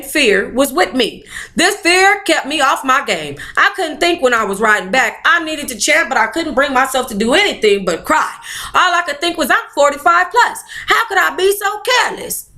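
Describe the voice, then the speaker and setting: Woman, high pitch, bright tone, book club read-aloud, animated, sassy casual commentary. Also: moderate pace. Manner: animated, playful, colloquial commentary. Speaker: book club host reading a memoir aloud.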